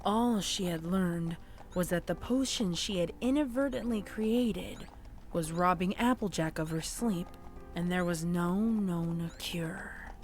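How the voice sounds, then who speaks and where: A woman reads dark fiction as a story narrator. Her voice is on the low side, with dramatic, scripted delivery and soft music in the background. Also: slow pace.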